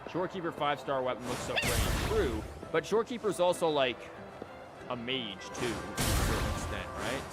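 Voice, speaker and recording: A man's voice: mid-range voice, very animated, normal speed. A man doing casual, rambling gameplay commentary as a streamer, with joking asides. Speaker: game streamer. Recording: loud music behind the voice. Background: game music.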